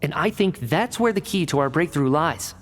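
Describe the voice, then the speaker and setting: A man's voice: a little high, animated, lightly acted. A man voicing a cerebral game researcher. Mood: analytical. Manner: measured, analytical explaining. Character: analytical male researcher, measured and cerebral. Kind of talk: video game cutscene voice acting. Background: game score music.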